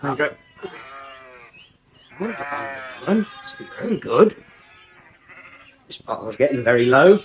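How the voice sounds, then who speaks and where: An actor playing a tired, down-to-earth farmhand with an English accent, laconic and low-key, in a radio soap. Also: male voice, sing-song, a little slow, pauses often, over music. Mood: practical. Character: weary, down-to-earth farmhand at lambing.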